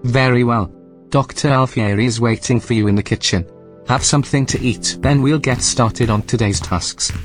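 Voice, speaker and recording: An actor playing a polite, unflappable artificial intelligence in an audio drama, speaking in a calm, formal, courteous way. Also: male voice, medium pitch, normal speed, faint music behind, close to an everyday voice.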